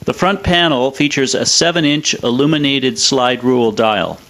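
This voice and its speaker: A man presenting a scripted technical explainer in a measured, matter-of-fact way. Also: medium pitch, a bit bright. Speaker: presenter of an electronics review.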